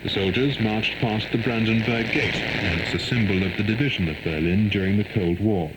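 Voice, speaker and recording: A man reads a formal, measured world news bulletin, heard over shortwave radio. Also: on the low side. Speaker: radio newsreader. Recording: noisy 1990s shortwave radio reception.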